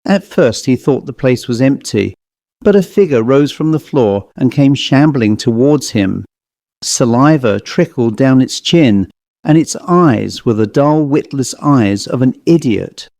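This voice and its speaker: Male narrator for supernatural tales: warm, relaxed tone, deliberate scripted storytelling, very dry sound. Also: medium pitch, creaky, no room sound. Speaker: narrator and host of horror story readings. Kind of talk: scripted narration of classic ghost stories.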